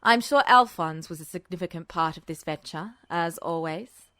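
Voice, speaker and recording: Woman lowering her voice for a smug, unflappable male officer. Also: normal speed, dry recording. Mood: smug, unruffled. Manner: dry, teasing, calmly commanding. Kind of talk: podfic character voice.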